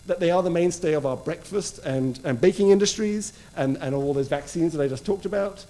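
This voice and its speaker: A man's voice: fairly high pitch, a little fast, dark tone. A man introducing a public book talk, explaining eagerly. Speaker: author and speaker.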